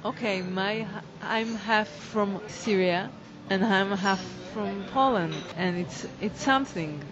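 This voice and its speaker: A woman, a dance teacher and choreographer, answering interview questions in halting English as she searches for words. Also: mid-range voice, highs cut off. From Israel.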